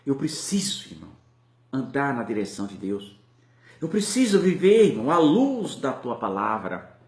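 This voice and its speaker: Impassioned Brazilian preacher in an echoey church. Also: male voice, medium pitch, big pitch swings, normal speed. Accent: Brazilian Portuguese. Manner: impassioned, rising and falling preaching. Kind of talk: sermon.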